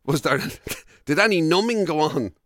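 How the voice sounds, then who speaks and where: An Irish man with a fairly high, animated voice co-hosts radio banter. He is teasing and inquisitive, recorded close and dry in a studio. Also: moderate pace, dry recording. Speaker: radio show co-host. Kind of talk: casual banter. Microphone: close studio microphone.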